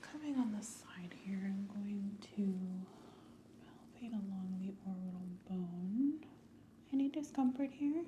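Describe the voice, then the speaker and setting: A woman doing a soft, slow ASMR medical exam roleplay, giving gentle clinical instructions, often in a whisper, close to the microphone. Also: mid-range voice, highs cut off, background noise. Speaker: ASMR host playing a clinical examiner.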